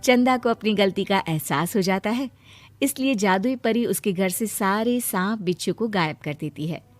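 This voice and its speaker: A woman narrating a children's cartoon moral story in a steady, scripted way. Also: medium pitch, moderate pace, no room sound, faint music behind.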